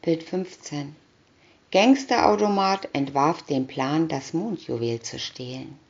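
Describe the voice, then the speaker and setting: A woman reading a scripted children's comic story aloud, deliberately, with pauses between captions. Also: sits low, vocal fry, a little mellow, slight background noise. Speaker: reader of picture-story booklets. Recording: dull lo-fi recording.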